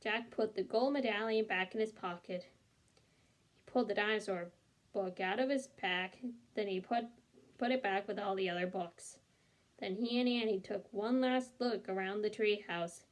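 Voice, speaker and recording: Female storyteller, medium pitch, expressive read-aloud for kids, acting out the lines. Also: moderate pace. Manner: animated, acts out the dialogue.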